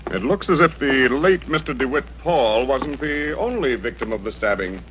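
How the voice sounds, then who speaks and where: Guy playing calm american homicide inspector, lively intonation, muffled old radio crime show with some hiss. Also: medium pitch, moderate pace, lightly acted. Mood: cool, businesslike. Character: calm, authoritative male homicide police inspector. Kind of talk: old-time American radio detective drama acting. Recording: dull noisy old radio recording.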